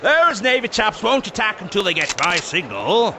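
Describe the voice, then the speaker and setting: An actor playing a posh, unflappable old-fashioned British military chap in a video game, with chummy understatement and an upper-class accent. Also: male voice, sits high, very animated, normal speed, very noisy. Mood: breezy, unruffled. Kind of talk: video game cutscene acting.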